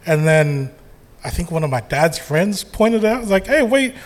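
A man's voice: high voice, big pitch swings, normal speed. A man from the Philippines co-hosting a live podcast, joking and teasing the others as he tells a story. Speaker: podcast co-host. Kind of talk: casual live panel chat. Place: live conference stage.

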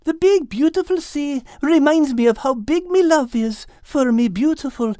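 Storybook tomcat, lovestruck goof, simple, high-pitched delivery. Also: male voice, expressive, normal speed, mellow, no room sound, studio quality. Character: big goofy lovestruck male cat. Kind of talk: audiobook character acting.

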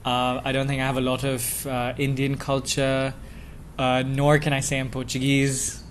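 A man's voice: mid-range voice, monotone, normal speed, a little hiss or hum. A young man from Dubai, a podcast guest talking casually about himself, with many fillers and chuckles. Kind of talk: casual interview chat.

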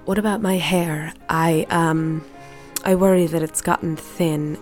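A woman's voice: low voice, fairly even intonation, a little slow, darkish tone, faint music behind. A woman playing a nervous, prickly, reclusive housewife in a period audio drama, blunt and guarded. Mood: anxious.

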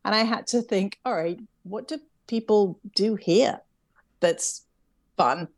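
A middle-aged woman from England with an English accent softened by years in America. She talks in a chatty, reflective way and laughs lightly at herself as she tells her story in an interview. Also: mid-range voice, slow. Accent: English, with some American influence. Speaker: interview guest, camp CEO. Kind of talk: conversational interview.

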